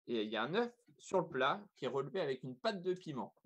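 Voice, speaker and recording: A woman's voice: low-pitched, very animated, quick pace. A woman hosting a guessing game in a casual, chatty way. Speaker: quiz host on a youth radio-style talk show.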